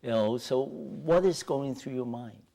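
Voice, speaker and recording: A man's voice: medium pitch, a little slow, creaky, warm tone. A middle-aged man hosting a TV interview show in a studio, polite and leaning on "you know" fillers, on a lapel mic. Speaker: TV talk show host.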